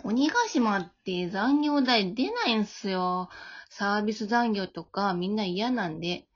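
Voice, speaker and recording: A woman's voice: mid-range voice, expressive, a little slow. A woman playing a mild, clock-watching ogre worker, casually polite and matter-of-fact, in an amateur comedy radio drama. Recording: dull lo-fi audio.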